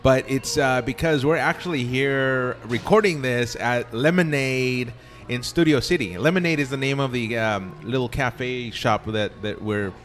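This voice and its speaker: A man's voice: mid-range voice, unhurried, hardly any pauses, a bit bright. A young man from Southern California hosting a podcast, easygoing and rambling, recording in a cafe. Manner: easygoing, laughs often, rambling. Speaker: podcast host. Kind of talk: casual interview chat. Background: faint cafe music.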